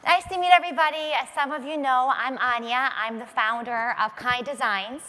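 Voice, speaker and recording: A woman from Ukraine who grew up in Israel, a non-native English speaker, giving a founder's conference pitch built on personal storytelling. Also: normal speed, bright, forceful tone. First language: not English. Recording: reverberant hall.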